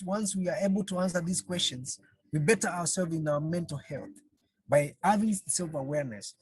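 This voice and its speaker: A counselling trainer gives an online webinar talk over a video call. The speaker talks slowly and haltingly, repeats phrases and keeps asking the audience rhetorical questions. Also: mid-range voice, slightly rough, no room sound, rough recording.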